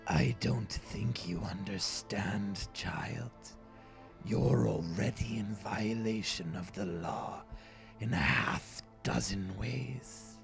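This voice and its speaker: A man playing a smug, menacing army captain in an audio drama, with a gravelly whispery voice and a slow, condescending, commanding manner. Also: lowish voice, soft background music. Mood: cold menace.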